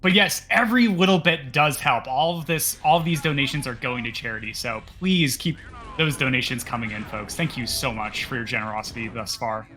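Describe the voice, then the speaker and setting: A man's voice: mid-range voice, a little fast, sharp tone. A man giving casual, explanatory live commentary on a video game speedrun.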